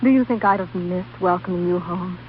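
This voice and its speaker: A woman's voice: lowish voice, a little fast, lightly acted. An actress playing a tender, earnest woman in a 1930s radio drama. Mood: tender, pleading. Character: tender, earnest woman offering help to an old flame. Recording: dull, noisy old radio recording.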